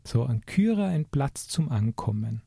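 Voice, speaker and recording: Radio host, husky, very dark tone, reflective, rephrases questions. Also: mid-range voice, very animated, unhurried, dry recording, clean studio sound. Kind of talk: hosting a radio talk.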